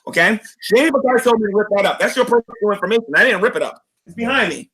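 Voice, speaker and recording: A hoarse man in a heated livestream argument, insistently repeating the other person's name as he pleads and accuses. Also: high voice, quick pace, bright, forceful tone. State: hoarse, losing his voice. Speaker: YouTuber.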